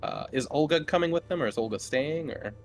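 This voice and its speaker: A man's voice: a little high, animated, brisk, a little hiss or hum. A man running a tabletop horror game as game master, casually improvising scene descriptions in a conversational way.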